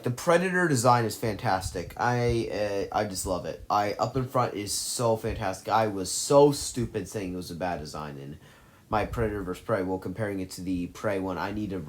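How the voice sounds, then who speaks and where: A man giving casual, effusive commentary on his favorite movies, rambling and correcting himself as he goes. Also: deep voice. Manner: gushing, effusive praise. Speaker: movie list vlogger.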